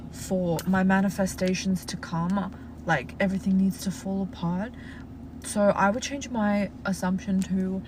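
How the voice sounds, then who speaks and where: Aussie girl doing a live q&a from her car, lots of "like", chill and reassuring, road noise going behind her. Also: medium pitch. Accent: Australian. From Australia. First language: Russian. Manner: chatty, frequent "like" fillers, reassuring advice-giver. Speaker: livestream host answering viewer questions. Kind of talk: casual live Q&A. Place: inside a parked car.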